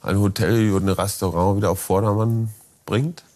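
A man in his forties speaks as a television talk-show host in a studio. His manner is informal and teasing, putting questions in a playful, conversational way at an ordinary male pitch. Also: fairly even intonation, moderate pace, darkish tone. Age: 40s.

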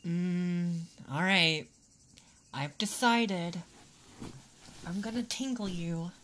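Slow, teasing tomboy played by a woman. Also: lowish voice, a bit bright, a little hiss or hum. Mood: playful, confident. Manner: teasing, drawling, slipping into whispers. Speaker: ASMR roleplay performer. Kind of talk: ASMR roleplay, intimate second-person acting.

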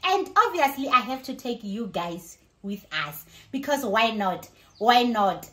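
A woman's voice: medium pitch, slow pace. A woman in her thirties with a South African accent, chatting casually and expressively as a vlogger and switching between languages. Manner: excited, expressive. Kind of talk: casual vlog chat mixing in another language. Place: living room and kitchen at home.

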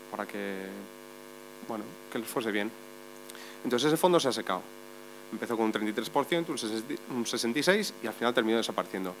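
A man speaking on a debate panel, explaining step by step and posing rhetorical questions. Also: lowish voice. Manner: explanatory, builds points with lists and rhetorical questions. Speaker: journalist on a panel. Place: meeting room. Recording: faint mains hum.

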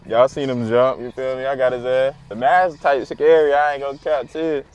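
A man's voice: medium pitch, fairly even intonation, normal speed, darkish tone. A young man vlogging from his car, hyped and slangy as he talks straight to the camera about his pranks. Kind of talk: casual vlogging while driving. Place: inside a car.

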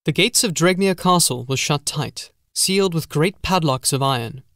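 A male audiobook narrator reads a scripted gothic horror tale. His voice sits a little high for a man, and the recording is dry and close. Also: moderate pace, a bit bright, no echo.

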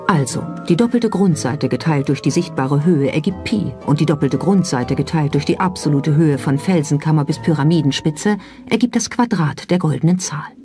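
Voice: mid-range voice, animated, normal speed, hardly any pauses, a little mellow. A narrator reading a scripted documentary. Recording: music under the voice. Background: background music.

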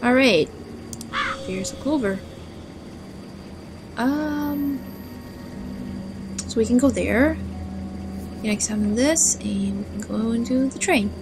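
A woman narrating her playthrough of a game, chatting, reading text aloud and reacting with quick startled exclamations. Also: a little high, noisy background. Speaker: gaming video host.

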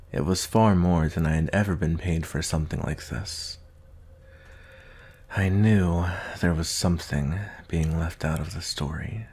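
Man acting out a very deep, gravelly werewolf bounty hunter. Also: exaggerated voice. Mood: low, brooding. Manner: slow, gentle, soft-spoken, hesitant pauses. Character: gruff but tender male werewolf bounty hunter. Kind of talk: ASMR roleplay.

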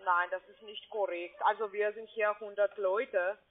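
A woman's voice: mid-range voice, steady tone, moderate pace. Foreign-accented woman on a tinny phone. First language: not German. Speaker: call centre agent. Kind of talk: phone sales call. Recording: tinny phone line, music behind. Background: music in a busy call centre.

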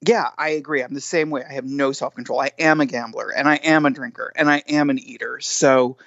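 Male podcast co-host, chatty and self-deprecating, gravelly fry, slightly dull dry recording. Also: mid-range voice, fairly even intonation, brisk, a bit bright, dry recording. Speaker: podcast co-host giving news. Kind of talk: casual news chat.

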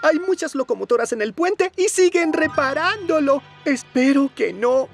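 A woman's voice: high-pitched, animated, moderate pace. A woman voicing a worried little train engine in a children's cartoon, anxious and careful, in Latin American Spanish. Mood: alarmed. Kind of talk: animated children's show dialogue. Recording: loud music behind the voice.

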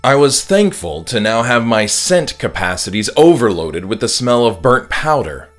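A man's voice: mid-range voice, very animated, moderate pace. A man reading a horror audiobook as a dramatic first-person storyteller. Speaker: author reading own audiobook. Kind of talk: scripted horror audiobook narration. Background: music.

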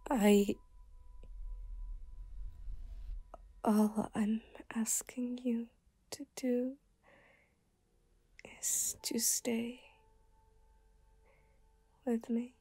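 A woman voicing an obsessive, self-aware anime schoolgirl in an ASMR roleplay, soft and often whispering, shy, hesitant and stammering as she pleads. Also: on the high side, little pitch movement, extremely slow, pauses often, breathy edge, no room sound, exaggerated voice. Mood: resigned, pleading.

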